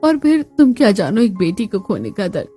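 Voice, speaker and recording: A woman's voice: mid-range voice, big pitch swings, brisk, mellow, breathy edge, voice pushed down. A stern, grieving middle-aged cartoon mother-in-law, played by a woman. She speaks dramatically and reproachfully, over faint music.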